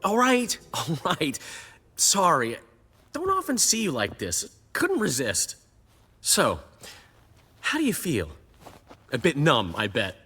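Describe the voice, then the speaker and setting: A man's voice: a little high, huge pitch swings, takes it slowly, long pauses, bright, forceful tone, breathy edge, heavily acted. A man playing a flamboyant, teasing bard and ladies' man in a video game, with a theatrical, amused delivery.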